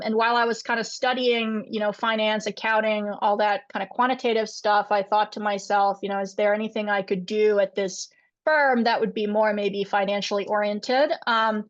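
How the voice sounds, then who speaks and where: A woman's voice: medium pitch, no echo. A young woman from Finland, an MBA student, introducing herself conversationally on a video call. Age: young adult. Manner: conversational, uses "kind of" often. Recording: dull lo-fi call audio.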